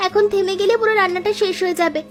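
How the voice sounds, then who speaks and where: A woman's voice: extremely high pitch, fairly even intonation, racing, soft background music. A woman voicing a cheerful, eager young daughter-in-law in a cartoon.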